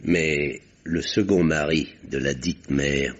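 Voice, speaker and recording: A measured, dry doctor with a firm bedside manner. His voice is very low and husky. It comes through a dull, muffled archive recording. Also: fairly even intonation, full character voice. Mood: calm. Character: calm, dry male country doctor. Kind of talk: 1950s French radio drama acting.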